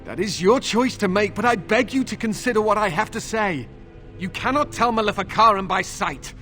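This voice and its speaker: Anguished captive knight, high-voiced. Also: male voice, expressive, moderate pace, pushed and bright. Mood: desperate urging. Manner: anguished, intense, imploring. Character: tortured, delirious young male templar captive, bitter and fearful. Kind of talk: dramatic video game voice acting. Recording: music under the voice.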